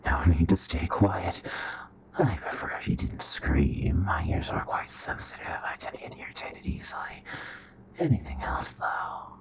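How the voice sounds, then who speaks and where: A seductive, predatory royal vampire, acted by a man for an ASMR roleplay. His voice sits extremely low and often slips into a breathy whisper. He speaks slowly, with courtly charm and a possessive menace. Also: sing-song, full character voice, low-quality recording. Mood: menacing, coaxing. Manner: slow, hushed, courtly and menacing. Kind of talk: intimate ASMR roleplay acting.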